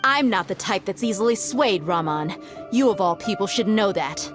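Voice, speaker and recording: A woman's voice: mid-range voice, lively intonation, normal speed, music in the background, close to an everyday voice. A woman voicing a curt, guarded young woman in a game cutscene. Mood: firm. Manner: curt, dismissive.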